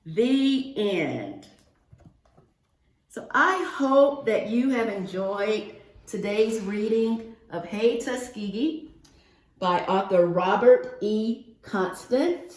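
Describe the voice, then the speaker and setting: Casting: female storybook reader for young kids, medium pitch, expressive and direct, recorded with noticeable room echo. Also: extremely slow. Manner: expressive, addressing children directly. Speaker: guest picture-book reader for a school class. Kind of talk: read-aloud storytelling to young children. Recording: roomy, echoing virtual-reading audio.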